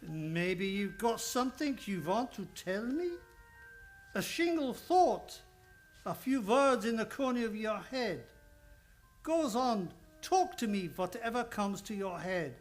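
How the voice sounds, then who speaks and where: A man's voice: high voice, sing-song, takes it slowly, soft background music, exaggerated voice. A man playing a fatherly older psychoanalyst in an old-time radio drama, coaxing and insistent, with slightly broken phrasing. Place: radio studio, live radio play.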